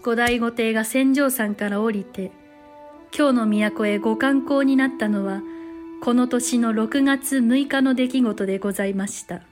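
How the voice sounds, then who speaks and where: A woman's voice: a little high, measured pace, over music, lightly acted. A woman voicing a courtly noblewoman who narrates a period drama with polite, formal storytelling. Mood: solemn. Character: courtly noblewoman chronicling a war of old Japan.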